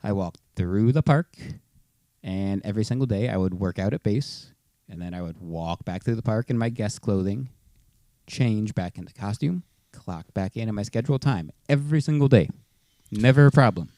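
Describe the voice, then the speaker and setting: Mellow, low-voiced man telling a story. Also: moderate pace, no room sound. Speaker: podcast co-host. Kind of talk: casual personal storytelling on a podcast.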